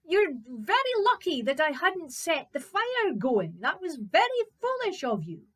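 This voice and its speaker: A woman voicing a cheerful, unflappable young man from a folk tale, polite and matter-of-fact, gently bossy. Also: very high voice, sing-song, unhurried, voice pushed up, exaggerated voice.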